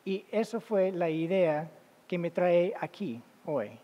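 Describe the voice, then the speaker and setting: A man with as his giving an academic talk as a guest lecturer, halting, with many fillers and self-corrections. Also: high voice, highs cut off. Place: lecture hall. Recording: long reverb of a large hall.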